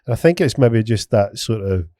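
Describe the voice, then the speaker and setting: A man's voice: fairly low pitch, animated, moderate pace, mellow, no echo, studio quality. A man with a Scottish accent hosting a casual podcast, rambling with lots of restarts, swearing and bantering. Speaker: podcast host.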